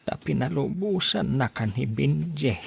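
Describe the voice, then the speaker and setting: Frail old grandfather, Ilocano accent, husky, slow, weary, complaining, radio drama. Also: medium pitch, expressive, exaggerated voice. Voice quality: husky, creaky.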